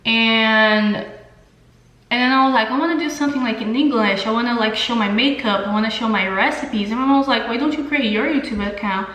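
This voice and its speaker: A roomy, echo-heavy home recording of a young woman with a Brazilian accent and a fairly high voice. She talks like a beauty vlogger, chatting casually to the camera. Her delivery is informal and candid, with plenty of slang. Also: a little fast, a bit bright. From São Paulo, Brazil. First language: Portuguese. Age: young adult. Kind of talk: casual chat to camera while doing makeup.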